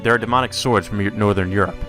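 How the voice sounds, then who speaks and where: Male visual novel narrator, low register, dramatic storytelling delivery, faint music underneath. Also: steady tone, fast, a bit bright. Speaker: let's play narrator reading a visual novel aloud. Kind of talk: dramatic read-aloud narration.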